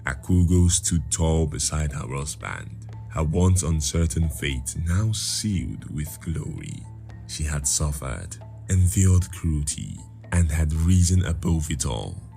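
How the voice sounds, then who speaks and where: Male folktale narrator: dark, mellow tone, lively intonation, measured dramatic delivery. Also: extremely low pitch, gravelly, faint music behind. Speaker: storyteller.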